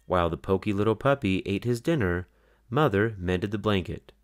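Very low-pitched storybook narrator. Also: moderate pace. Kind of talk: scripted children's read-aloud narration.

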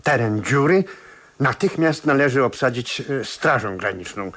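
A man's voice: medium pitch, expressive, unhurried, fairly bright tone. An actor playing an officious, suspicious police commissioner in a 1970s radio play, emphatic and slightly stumbling over his words.

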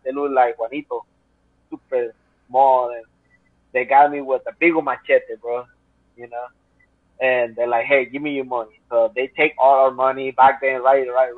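A man's voice: mid-range voice, flat delivery, normal speed, no room sound. Man, Spanish accent, 30s, earnest storyteller, filler-heavy, phone-like sound. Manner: earnest, rambling, full of fillers. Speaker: guest. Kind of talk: personal storytelling in interview. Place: home room. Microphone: video call. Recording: tinny phone-like call line.

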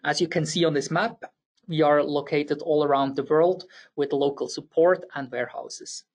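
A man's voice: a little high, fairly even intonation. A man with a Swiss German accent presenting a technical engineering webinar, explaining step by step with occasional fillers. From Switzerland. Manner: explanatory, slightly halting with fillers and restarts.

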